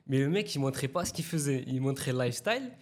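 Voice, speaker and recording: A man in his twenties, a guest from Italy, telling a story in a relaxed, slangy way in a casual interview. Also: mid-range voice, brisk. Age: 20s. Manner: relaxed anecdotal telling, slangy, with acted quotes.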